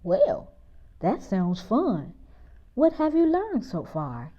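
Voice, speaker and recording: A woman's voice: huge pitch swings, slow, exaggerated voice. A woman voicing an affectionate, easygoing father in a children's story read-aloud. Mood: affectionate, interested. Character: affectionate, easygoing father greeting his family. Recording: muffled, low-fidelity audio.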